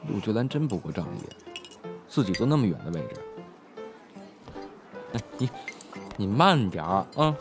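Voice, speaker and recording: An actor playing a brash, teasing male high school student in an audio drama, with a casual, slangy, blunt way of talking. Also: sing-song, normal speed, pauses often, music in the background. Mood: brash, playful. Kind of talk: Chinese audio drama acting.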